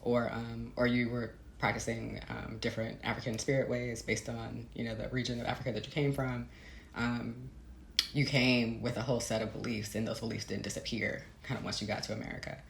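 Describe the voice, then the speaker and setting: Female interviewee, author type. Crackly vocal fry, thoughtful and searching delivery, reverberant room sound. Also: extremely low pitch, little pitch movement, fairly quick. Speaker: interviewed novelist. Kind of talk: reflective conversational interview. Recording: roomy, echoey sound.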